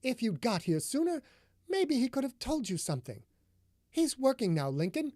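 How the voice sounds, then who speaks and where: Woman narrator as defiant young woman, raised pitch, shrill and forceful. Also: big pitch swings, normal speed, no echo, studio quality. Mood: mocking. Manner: shrill, shouting, clipped.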